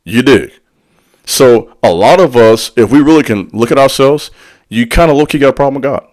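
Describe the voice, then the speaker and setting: A man's voice: medium pitch, animated, normal speed, darkish tone. A man talking straight to his audience in a preacherly rhythm. His delivery is slangy and direct. He sprinkles in call-and-response asides as he teaches on spiritual matters. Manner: slangy and direct, addressing the listener. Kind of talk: motivational spiritual talk.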